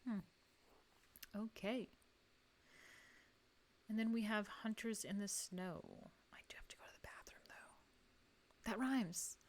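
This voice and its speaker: A woman's voice: medium pitch, takes it slowly, long pauses. A woman with a New York accent reads an art history book aloud to a live audience and breaks off for wry personal asides. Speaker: host reading an art history book to viewers. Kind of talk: casual livestream reading and commentary.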